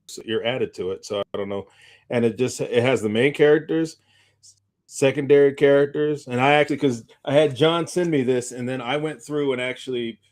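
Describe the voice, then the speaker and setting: A man co-hosting a casual unscripted podcast, talking in a halting, rambling way and often repeating his phrases. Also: mid-range voice, darkish tone. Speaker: podcast co-host.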